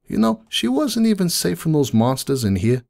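A man voicing a grieving, kindly cartoon pony cafe owner in an emotional, halting dramatic monologue. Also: on the high side, sing-song, vocal fry, a little mellow. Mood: bitter, indignant. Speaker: dramatic reader of fan fiction. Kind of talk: dramatic first-person monologue reading.

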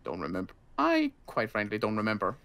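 Casting: man as survivor-camp guard for game roleplay, wary yet kind, casual halting talk, very varied pitch. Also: huge pitch swings, gravelly, fairly bright tone, full character voice. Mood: casual, unsure. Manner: casual, halting, hesitant delivery. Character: wary but kindly male armed guard at survivor camp.

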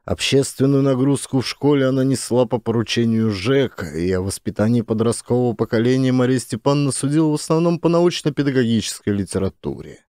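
A man's voice: medium pitch, brisk, few pauses, dark tone, no echo, clean studio sound. A man reading scripted narration for a satirical family comedy audiobook. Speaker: narrator, actor.

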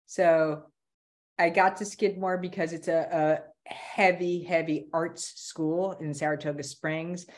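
A middle-aged woman with a notably low voice speaks on a video call from a room at home. She is a career strategist in casual conversation, animated and quick to restart a phrase. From Boston area, USA. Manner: animated, restarts and repeats words. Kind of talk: casual interview talk.

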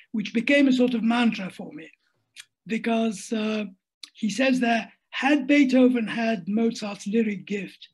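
Husky older man, thoughtful and hesitant. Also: sits high. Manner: thoughtful, hesitant with many restarts. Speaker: interviewee, writer. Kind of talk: reflective conversational interview. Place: home room at a desk. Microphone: laptop webcam on a video call.

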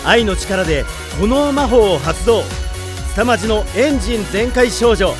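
A man's voice: high voice, expressive, measured pace, over music. A man narrating dramatic anime character introductions like a theatrical announcer.

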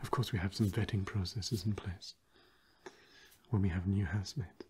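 A young man playing a fussy, old-fashioned vampire housemate in an ASMR roleplay, breathy and drily polite. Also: low-pitched, steady tone, darkish tone, slight background noise, natural voice. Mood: polite, reassuring. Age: young adult. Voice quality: breathy, husky. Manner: genteel, dry, measured.

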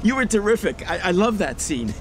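An actor playing an excitable, star-struck actor with a stammering, gushing manner in a radio drama. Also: male voice, sits high, brisk, slight background noise. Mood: chatty, awed.

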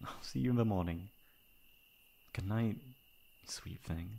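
Guy doing smitten best friend in asmr romance, soft n halting, creaky low voice. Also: a little hiss or hum. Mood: soft, loving. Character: smitten male best friend, tender, flustered, gently teasing. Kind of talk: intimate ASMR romance roleplay.

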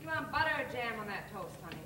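A woman's voice: on the high side, big pitch swings, fast talker. A woman on stage playing a feisty, bossy diner waitress who talks in a sassy, folksy, scolding way. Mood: brisk. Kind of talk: amateur stage play acting. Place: theatre stage, far camera. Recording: echoey theatre hall from far off, noisy.

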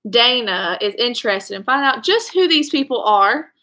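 A woman's voice: on the high side, expressive, moderate pace, sharp tone. A young woman reading a children's novel aloud in a storytelling style, indoors at home. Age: young adult.

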